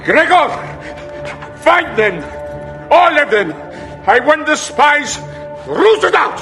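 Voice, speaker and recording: Casting: enraged, imperious sultan in audio drama, very high strained pitch, drawn-out shouted commands, music beneath. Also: male voice, big pitch swings, full character voice. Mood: furious, commanding. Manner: shrill, commanding outbursts. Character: furious, imperious male sultan barking orders.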